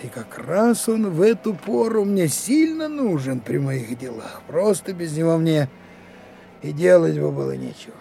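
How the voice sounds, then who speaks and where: An actor playing a gruff, kindly senior detective mentoring young trainees in a Soviet radio drama, speaking in a folksy, unhurried way. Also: male voice, on the high side, very animated, mellow, slight background noise, heavily acted. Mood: wry, resolute.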